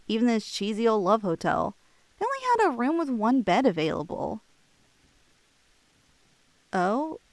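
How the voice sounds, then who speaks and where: Tipsy young woman played by a female voice actor for ASMR roleplay: playful, teasing, highish voice, unhurried drawl, rambling thoughts. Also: no echo. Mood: fond, shy. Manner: rambling, teasing, halting with giggles and trailing thoughts.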